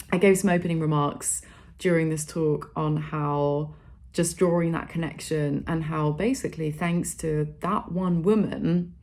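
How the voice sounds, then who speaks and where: A woman's voice: low-pitched, creaky, mellow. A woman, a vlogger and public speaker, talking casually and cheerfully to camera with plenty of "like" and "literally". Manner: chatty and upbeat, fillers like "like" and "literally". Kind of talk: casual to-camera vlog chat.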